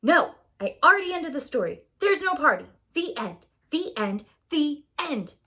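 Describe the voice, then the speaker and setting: A woman's voice: high voice, big pitch swings, a little slow, pushed and bright, voice pushed up, heavily acted. A woman playing a bossy, exasperated storybook narrator with an emphatic delivery. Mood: fed up.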